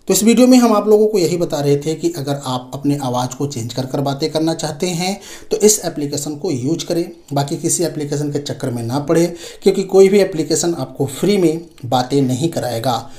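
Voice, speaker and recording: A man's voice: on the high side, mellow. A man with an Indian accent hosting an informal step-by-step tech tutorial, addressing viewers directly and repeating his points. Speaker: tech tutorial video host.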